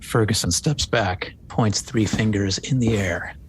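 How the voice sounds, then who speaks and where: Male narrator, lowish register, scripted stage-direction read, light rumbling noise. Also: unhurried, poor audio. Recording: faint low rumbling noise.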